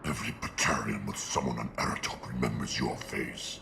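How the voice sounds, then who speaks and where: A man voicing a bitter, badly wounded alien terrorist in a video game, gravelly and breathy, straining weakly with hatred. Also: very deep voice, fairly quick, bright, forceful tone, slight background noise, heavily acted. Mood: bitter, accusing. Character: bitter dying male alien Batarian terrorist, seething with hatred.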